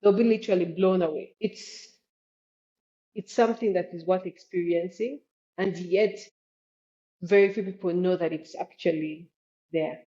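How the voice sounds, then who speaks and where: A woman from Uganda with a Ugandan accent answers as an interview guest. Her tone is mellow and relaxed, and she explains earnestly in a conversational style. The recording is a little dull and lo-fi. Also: lowish voice, normal speed, long pauses. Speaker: guest, travel expert.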